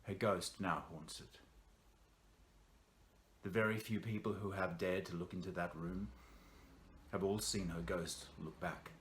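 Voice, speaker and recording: A man voicing a secretive, ominous woman from a theatre box office in a told horror story, grave and deliberate in her warnings. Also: low voice, fairly even intonation, a bit bright.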